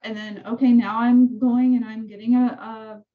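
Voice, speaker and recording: A woman with a soft voice, casually walking her real estate team through training tools on a call. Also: fairly high pitch, little pitch movement, moderate pace, phone-quality sound. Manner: chatty, rambling explanations. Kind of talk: casual team meeting walkthrough.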